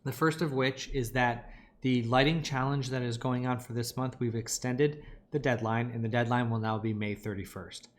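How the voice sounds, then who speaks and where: A man giving an informal online lecture as an instructor, his voice a little raspy and tired from talking, explaining things with emphasis on key points. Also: mid-range voice, steady tone, normal speed, slight background noise. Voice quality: slightly raspy. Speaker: animation lighting instructor.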